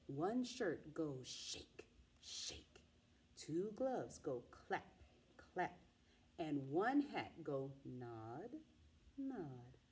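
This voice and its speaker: Mellow middle-aged woman reading a storybook. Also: low-pitched, sing-song. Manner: dramatic, with repeated drawn-out sound words. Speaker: teacher reading a picture book aloud. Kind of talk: expressive children's storybook read-aloud. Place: small indoor room with wall cards and pillows.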